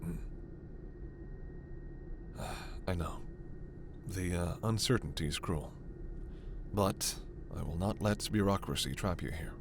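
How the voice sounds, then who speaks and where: A low-pitched alien doctor, acted by a man, speaks with measured, formal authority and gentle, protective care. It is a sci-fi ASMR roleplay, with a steady ambient noise behind the voice. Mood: regretful, reassuring. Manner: measured, formal, reassuring, soft-spoken asides. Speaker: voice performer.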